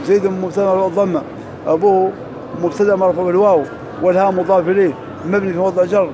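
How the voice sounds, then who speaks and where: A man teaching Arabic grammar in a mosque, drilling through examples quickly and reciting verses slowly. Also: high-pitched, background noise. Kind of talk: lesson parsing grammar and verse.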